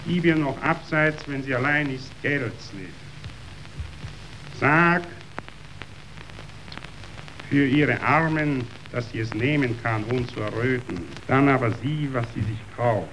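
An actor playing a cynical, brooding meat magnate in a declaimed radio drama. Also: male voice, medium pitch, a bit bright, a little hiss or hum, poor audio. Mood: confiding, brooding. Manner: declamatory, deliberate.

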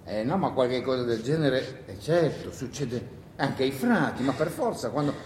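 A man's voice: medium pitch, big pitch swings, normal speed, darkish tone, lightly acted. An actor playing a weary, hungry wartime partisan who mutters and rambles to himself in a theatrical monologue, breaking off his own sentences. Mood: rambling, weary. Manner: rambling, muttering, self-interrupting, exclaiming. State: tired. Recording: roomy echo with faint noise.

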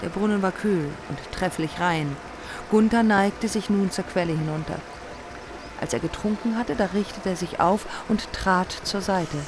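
A woman's voice: medium pitch, moderate pace, noisy background. A woman narrating a heroic epic in a scripted radio play.

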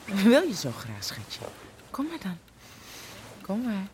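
A teasing, intimate lover in a radio play. Her pitch leaps about dramatically as she coaxes. The recording has clear noise and clicks around her. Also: wildly swooping pitch, slow pace, darkish tone. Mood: teasing, seductive. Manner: coaxing, intimate. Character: teasing, seductive, soothing female lover. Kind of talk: naturalistic audio drama acting.

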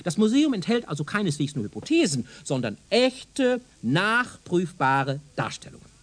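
A self-important professor in a radio drama. His voice is high and swoops animatedly as he lectures with condescending emphasis. There is a husky rasp to it, and he sounds thoroughly sure of himself. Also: big pitch swings, normal speed. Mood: proud lecturing. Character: pompous, self-assured male museum professor, excitable and condescending. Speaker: radio play actor. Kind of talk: German radio drama acting.

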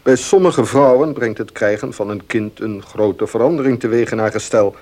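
An actor playing a frank, fatherly doctor in a radio drama, with a husky voice and reassuring manner. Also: medium pitch, moderate pace, a little mellow, natural voice. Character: avuncular, frank male village doctor.

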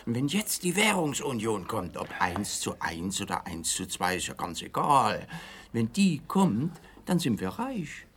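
An actor playing a naive, excitable East German man on the run, guileless and eager, in a radio crime comedy. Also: medium pitch, a little hiss or hum. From East Germany, Dresden (role).

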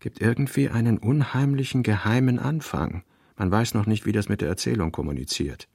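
An actor playing a brooding novelist narrator in a literary radio play, reciting narration and fragmentary notes in a measured, deliberate way. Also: male voice, fairly low pitch, creaky, a little mellow, husky, dry recording, studio quality. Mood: reflective.

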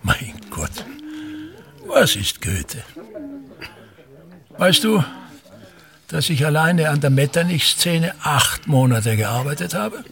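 A man's voice: fairly high pitch, big pitch swings, slow, vocal fry, long pauses, a bit bright, full character voice. An actor playing a pompous, self-important older theatre man and tyrannical father, declaiming disdainfully and repeating his phrases in a radio drama. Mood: grandiose.

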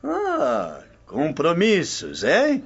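A man's voice: on the high side, huge pitch swings, very slow, husky, full character voice. An actor with a Brazilian accent playing a jovial, teasing, wealthy Roman womanizer in a radio drama. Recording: dull, muffled studio track.

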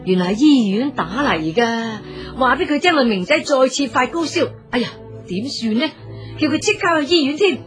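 A woman with a Cantonese accent narrating a story in a colloquial, dramatic, expressive and exclamatory style. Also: high-pitched, normal speed, soft background music.

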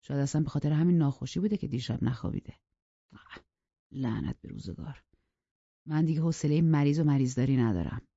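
A storybook narrator plays a surly elder brother who bullies and threatens. He speaks gruffly and impatiently, as if always accusing. The recording is dry and a bit muffled. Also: medium pitch, mellow, no echo. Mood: irritated.

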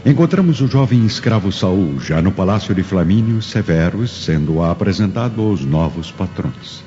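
A man's voice: deep voice, animated, moderate pace, mellow. A Brazilian man giving dramatic scripted narration for a radio serial. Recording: long reverb, dull, music behind.

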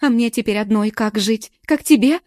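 A woman reading a distraught young woman who shouts accusations through tears. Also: steady tone, fast talker, no room sound, studio quality. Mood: accusing. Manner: shrill, accusing.

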